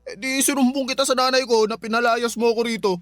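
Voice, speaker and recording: A man's voice: extremely high pitch, steady tone, fast talker, fairly bright tone, no echo, clean studio sound, faint music behind. A man acting as a sulky, tattling grown son with a Filipino accent who hides behind his mother in a radio drama. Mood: petulant, goading. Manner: petulant, goading.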